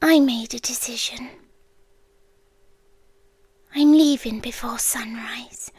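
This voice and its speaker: A British woman playing an ancient, lovelorn world-ending being in an ASMR roleplay, speaking in a soft, breathy, intimate whisper. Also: on the high side, dry recording, heavily acted. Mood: sorrowful, resolute. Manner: slow, hushed, intimate, with pauses. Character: ancient female apocalypse entity, lovelorn, tender and melancholy. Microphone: close microphone in a dry space.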